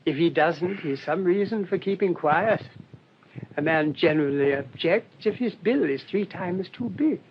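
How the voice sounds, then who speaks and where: An actor playing a mild, shrewd English priest in a radio drama, speaking in a gentle, rambling, politely hesitant way. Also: male voice, measured pace. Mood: calm, quietly confident. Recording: dull lo-fi radio recording, rumbling noise.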